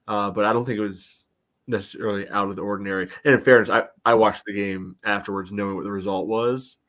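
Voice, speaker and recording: A man co-hosting a soccer fan podcast, reasoning through the game in a measured, casual way and often saying "you know". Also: on the low side, steady tone, a bit bright. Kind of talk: casual match analysis.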